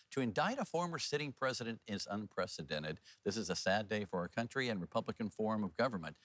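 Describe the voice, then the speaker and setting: An American man anchors the news in a fairly low voice. His delivery moves between scripted reading and informal, folksy talk. The sound is dry, with almost no room in it. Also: fairly bright tone, no echo. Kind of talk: scripted news reading mixed with casual banter.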